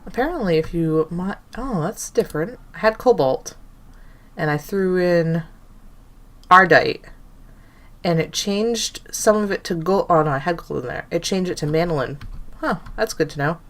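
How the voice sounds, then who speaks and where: A young woman with a low voice talks through her game in a loose, rambling way, stopping to correct herself as she goes. Her nose is blocked from allergies, which gives every word a stuffy, nasal sound. Keyboard typing can be heard behind her. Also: moderate pace. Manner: rambling, self-correcting, thinking aloud. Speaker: gaming channel host. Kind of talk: casual gameplay commentary.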